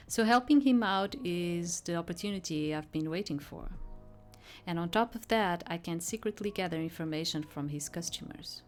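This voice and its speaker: A woman reading a visual novel aloud and commenting casually, sometimes stumbling over her words. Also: on the low side. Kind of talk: read-aloud story narration with casual commentary.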